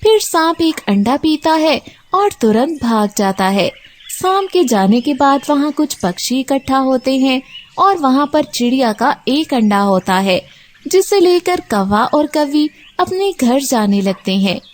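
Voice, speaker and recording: A woman tells a scripted story for children as its narrator. Her voice is high and she takes her time, reading slowly. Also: very animated.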